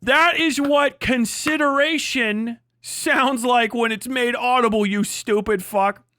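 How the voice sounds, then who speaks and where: A man with a high-pitched voice hosts a livestream, recorded close and dry. He rants off the cuff in casual reaction commentary, swearing freely and mocking as he rambles. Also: steady tone, slow, sharp tone, no room sound. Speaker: livestream host.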